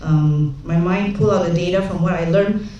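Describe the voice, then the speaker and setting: A woman's voice: fairly low pitch, moderate pace, warm tone, lo-fi sound. Woman from Singapore, young adult, humorous conference speaker; distant, roomy hall sound. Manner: humorous, chatty storytelling with many fillers. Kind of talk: conference talk with anecdotes. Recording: echoey hall, speaker far from mic.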